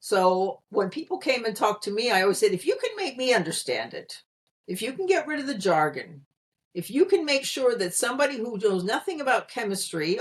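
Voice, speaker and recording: Middle-aged American woman from Pennsylvania, articulate guest, explains clearly, speaks over a video call. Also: medium pitch. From Pennsylvania, USA. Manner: articulate, explanatory, light laughs. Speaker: guest, communication consultant. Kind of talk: conversational interview answer.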